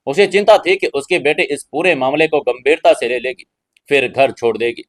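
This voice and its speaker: A man's voice: medium pitch, normal speed, no echo. A man narrating a scripted audio drama serial in a storytelling style.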